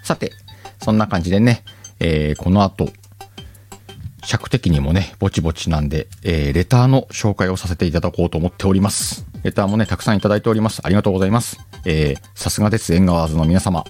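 A man with a Kansai accent hosting a podcast, chatting casually and directly to his listeners in a relaxed, self-deprecating way. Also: low voice, fast. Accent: Kansai Japanese. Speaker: podcast host. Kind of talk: casual solo chat. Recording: faint background music.

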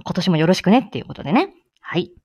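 Giggly, lively woman hosting a chat. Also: fairly low pitch, rapid-fire, slightly rough, dry recording, muffled recording. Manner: giggly, chatty, exclaiming. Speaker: live audio show host. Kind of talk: casual morning livestream chat.

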